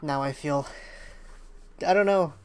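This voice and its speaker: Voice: medium pitch, takes it slowly, darkish tone, clear, resonant tone. A game streamer chatting casually on a livestream, hesitant and rambling while thinking aloud. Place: indoors at a streaming setup.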